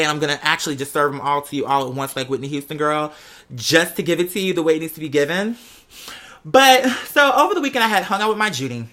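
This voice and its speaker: A man's voice: on the high side, bright, forceful tone. An American man chatting casually like a gossipy host, with a sassy manner and dramatic emphasis as he tells personal stories. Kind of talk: casual chat, gossipy storytelling.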